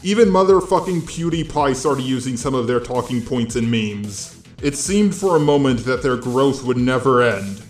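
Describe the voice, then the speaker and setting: A synthetic robot male voice reading a scripted video essay narration in a flat, mechanical text-to-speech delivery. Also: medium pitch, roomy sound.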